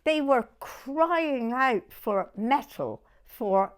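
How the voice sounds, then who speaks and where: Elderly southern English woman, lively high-pitched voice. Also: extremely slow, clear, resonant tone. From southern England. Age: 90s. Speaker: oral history interviewee. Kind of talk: personal reminiscence in conversation.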